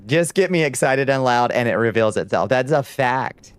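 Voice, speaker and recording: A man's voice: mid-range voice, lively intonation, moderate pace, clear, resonant tone, dry recording. A middle-aged man with a light Georgia twang, chatting casually and wryly with his viewers while he plays a game. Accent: light Southern US twang, Georgia. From United States. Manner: laid-back drawl, wry, talks to chat while playing. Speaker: streamer. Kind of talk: casual live gameplay chat.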